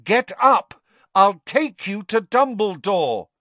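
A man reading aloud and voicing an alarmed teenage schoolboy who speaks loudly and insistently. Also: high-pitched, very animated, normal speed, dry recording, voice pushed up, heavily acted. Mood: urgent. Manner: loud, insistent. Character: teenage boy, urgent and alarmed.

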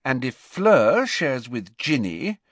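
Guy putting on a harassed middle aged mother, fussy and scolding, high voice, storybook, dry sound. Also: big pitch swings, takes it slowly, no echo. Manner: fussing, maternal.

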